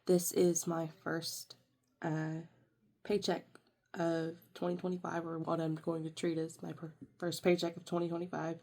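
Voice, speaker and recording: A woman's voice: deep voice, monotone, moderate pace, dark tone. A young woman with a congested, nasal voice from a sinus infection, chatting casually as she walks through her budget planner. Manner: chatty, rambling with fillers and self-corrections. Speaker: budgeting vlogger.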